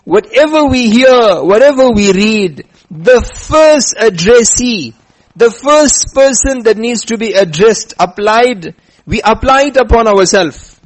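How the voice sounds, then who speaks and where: A man with a rather high voice delivers a religious talk. He slows down to stress his lessons and tells a story as he goes. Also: animated, low-quality recording. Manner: emphatic, slows down to stress points. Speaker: preacher. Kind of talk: religious lecture with chanted scripture.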